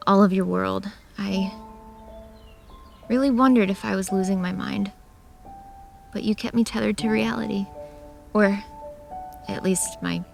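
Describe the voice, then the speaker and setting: A woman's voice: medium pitch, vocal fry, a little mellow. A woman playing a guarded, wry young woman who sees monsters in an audio drama, with an understated delivery. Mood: heartfelt. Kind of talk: audio drama acting with first-person narration.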